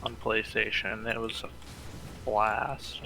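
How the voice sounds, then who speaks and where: A man's voice: lowish voice, flat delivery, takes it slowly, vocal fry, pushed and bright. Casual male gamer, faint noise. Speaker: gaming co-player. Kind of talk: casual chat.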